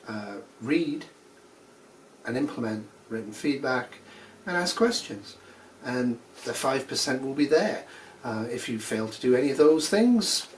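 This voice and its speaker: A man's voice: medium pitch, distant mic, a little hiss or hum, poor audio. A man in his fifties, a nursing instructor giving blunt, dry course announcements with sarcastic asides. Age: 50s.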